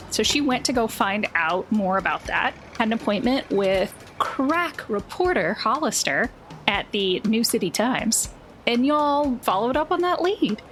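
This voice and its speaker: A woman's voice: fairly high pitch, a bit bright. A woman narrating a tabletop game as its game master in a conversational, folksy way.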